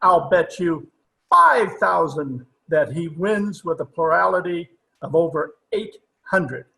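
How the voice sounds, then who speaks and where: Stuffy elderly male judge for radio comedy, played by a man: slow, bright, forceful, pompous and obstinate. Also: on the high side, expressive, gravelly, exaggerated voice, poor audio. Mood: flustered, defiant. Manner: formal, pompous wording. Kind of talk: old-time radio comedy acting. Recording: online video-call recording.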